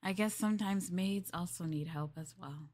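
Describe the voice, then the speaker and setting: A woman's voice: on the low side, moderate pace, darkish tone. A young woman chatting in an ASMR maid roleplay. She rambles playfully, giggles and hesitates with fillers while speaking to the listener as her master. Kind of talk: soft casual ASMR roleplay chat.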